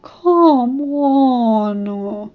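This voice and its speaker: A woman's voice: high-pitched, lively intonation, very slow, narrow, tinny audio, heavily acted. A woman voicing a brash, sporty female pegasus pony with a blunt, whiny edge.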